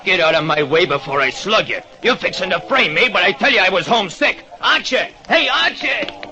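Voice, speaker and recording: An actor playing a surly, evasive American bingo caller with slangy speech in an old radio drama. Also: male voice, sits high, lively intonation, a little fast, noisy background. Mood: panicked.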